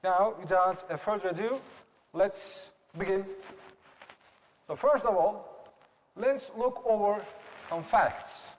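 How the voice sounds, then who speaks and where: Slow-speaking Turkish-accented man presenting. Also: sits high, long reverb tail, slight background noise, low-quality recording. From Turkey. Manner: slow, deliberate, explanatory with rhetorical questions. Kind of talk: classroom presentation.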